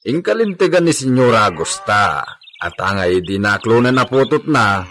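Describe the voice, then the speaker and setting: A man acting an earnest ranch helper and dutiful son in a Filipino radio drama. His voice is bright and forceful at a middle pitch, with a Filipino accent and plain, rustic delivery. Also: big pitch swings, normal speed, a little hiss or hum. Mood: earnest, confiding.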